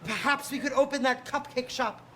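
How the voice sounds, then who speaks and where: A woman's voice: high voice, normal speed, a bit bright. A woman playing a gloating, grandiose villain in a comic stage parody. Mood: smug, gloating. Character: gloating, grandiose tyrant villain with petty hobbies.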